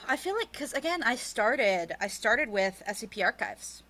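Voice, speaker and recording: A woman's voice: on the high side, sing-song, a little slow, pushed and bright. A woman being interviewed, telling personal stories casually and bluntly in short, emphatic, repeated phrases. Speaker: podcast producer and writer being interviewed.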